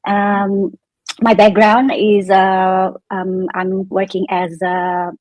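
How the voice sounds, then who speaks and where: Woman from Indonesia, guest, flat low delivery, dry sound, lots of ums. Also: takes it slowly, no room sound, low-quality recording. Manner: hesitant, frequent ums. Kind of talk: casual self-introduction.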